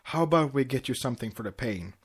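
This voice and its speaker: A man's voice: medium pitch, expressive, fast, breathy edge. A man voicing a courteous, mild-mannered male pony doctor in a read-aloud story. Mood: nervous. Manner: courteous, professional.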